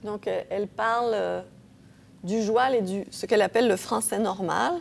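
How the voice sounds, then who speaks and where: A woman's voice: medium pitch, fairly even intonation, moderate pace, vocal fry, background noise. A woman professor giving an academic lecture, explaining patiently with frequent hesitations and checks of her audience. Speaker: linguistics professor.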